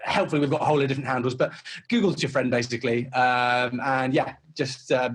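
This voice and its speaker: A man's voice: medium pitch, steady tone, fast, fairly bright tone, a little hiss or hum. A man chatting casually as an interview guest, explaining things in an informal, conversational way. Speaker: comedy company founder, interview guest.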